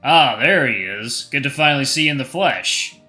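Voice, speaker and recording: A man's voice: mid-range voice, lively intonation, normal speed, sharp tone, faint music behind. A man voicing a confident, hearty wolf man with a Southern accent in a story. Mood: hearty, amused.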